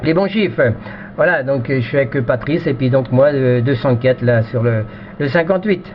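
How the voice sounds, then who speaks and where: A man's voice: mid-range voice, animated, normal speed. A man chatting casually as an amateur radio operator, repeating himself and often ending phrases with "hein", with a two-way radio sound. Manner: repetitive, tags phrases with "hein". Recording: dull lo-fi radio recording with noise.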